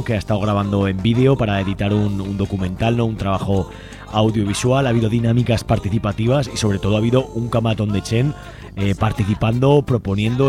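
A man with an accent from Spain giving an informal radio report as a host, often tagging his phrases with a little question. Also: fairly low pitch. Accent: Spanish from Spain. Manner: frequently ends phrases with a questioning tag.

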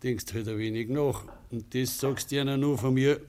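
An actor playing a proud, grumpy middle-aged farmer in a radio drama, speaking firm, insinuating Bavarian dialect. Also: male voice, medium pitch, fairly even intonation, moderate pace, darkish tone, natural voice. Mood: stern, warning. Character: proud, grumpy farmer father, guarded and warning.

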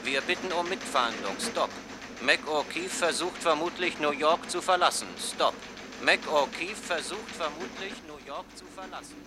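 An actor playing a police dispatcher reading a telegram-style bulletin in a clipped, official way. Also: male voice, a little high, normal speed, lightly acted. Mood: urgent, official. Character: urgent police dispatcher reading out a manhunt wire. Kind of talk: 1960 radio drama, clipped telegram announcement. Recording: very noisy old radio recording.

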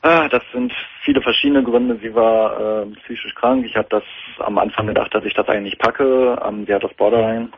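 A man's voice: on the low side, lively intonation, a little fast, gravelly, slightly rough, no room sound. A man in his twenties calling in to a radio talk show, speaking hesitantly with many fillers over a telephone line. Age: 20s. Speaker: caller. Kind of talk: phone-in talk radio call. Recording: tinny phone line.

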